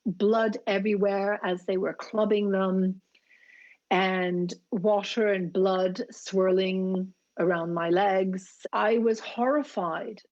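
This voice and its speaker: A woman being interviewed, telling personal stories in an earnest, vivid and deliberate way. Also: medium pitch, a little mellow, lo-fi sound. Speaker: interview guest, shark conservationist. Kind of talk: reflective personal storytelling.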